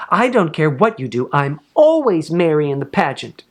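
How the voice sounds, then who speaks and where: A man's voice: fairly high pitch, big pitch swings, normal speed, pitched above the performer's own voice. A man voicing a sulky, self-important, indignant schoolgirl in a storybook, with petulant delivery.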